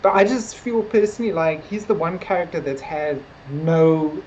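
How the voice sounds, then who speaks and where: Low-pitched woman in casual review chat. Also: normal speed, a little mellow, muffled recording, a little hiss or hum. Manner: hedging, conversational, frequent "like" and "sort of". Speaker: podcast co-host discussing a TV series.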